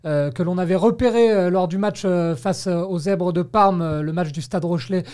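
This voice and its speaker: A man's voice: high-pitched, moderate pace, a little mellow. A man hosting a sports talk discussion on the radio. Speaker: radio host.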